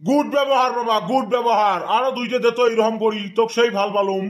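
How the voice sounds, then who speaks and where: A man voicing a scolding, excitable cartoon beggar, loud and exclaiming, with a northern Bengali accent. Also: very high-pitched, fast, noisy background. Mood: gleefully approving. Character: gruff, scolding upside-down-land beggar man, easily offended. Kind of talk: comic cartoon dialogue.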